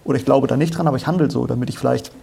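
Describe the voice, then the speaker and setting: A man with a mellow, husky voice explains scripture in conversation. He is didactic and conversational, with a creaky edge, and often poses a question before answering it. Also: mid-range voice, steady tone, racing. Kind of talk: conversational Bible exposition.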